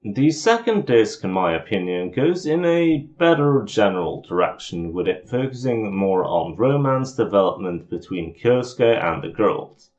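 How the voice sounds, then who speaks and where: A man's voice: lowish voice, big pitch swings, unhurried, hardly any pauses, a little mellow. A man giving an informal, scripted game review voice-over in an opinionated, grumbling, self-deprecating way. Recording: muffled lo-fi home voice recording.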